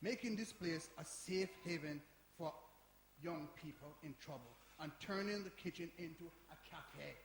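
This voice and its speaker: High-pitched, indignant older man played by an actor. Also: moderate pace, a little hiss or hum, natural voice. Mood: cautious, firm. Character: principled, indignant older man defending a youth community centre. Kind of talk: stage play dialogue. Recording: echoey live hall performance.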